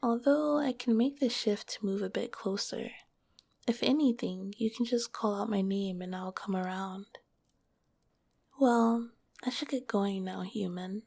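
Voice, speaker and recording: A woman whispering as a gentle slime monster girl. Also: medium pitch, a little slow, creaky, slightly rough. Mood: shy. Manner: soft whispering, soothing and hesitant. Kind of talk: ASMR roleplay. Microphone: close microphone.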